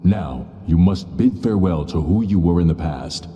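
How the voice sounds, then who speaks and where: Game role: commanding master, deep and very dark, echoing over music. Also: male voice, expressive, normal speed. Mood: solemn. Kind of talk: voice acting in an anime-style game.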